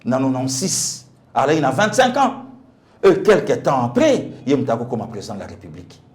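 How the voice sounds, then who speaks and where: A man's voice: medium pitch, huge pitch swings, a little fast. A man with a Congolese accent giving impassioned political commentary as a guest pastor, emphatic, repeating phrases and asking rhetorical questions.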